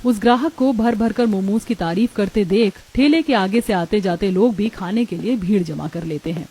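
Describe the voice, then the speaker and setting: A woman serves as narrator of a cartoon tale. Her voice is mid-pitched and on the mellow side, delivering scripted storytelling. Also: normal speed, slightly rough.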